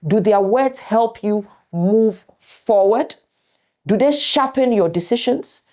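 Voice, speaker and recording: A middle-aged woman giving a motivational talk as host, speaking deliberately and emphatically in short, weighted phrases with pauses. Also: medium pitch, animated, a little mellow. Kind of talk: motivational talk to an audience of viewers.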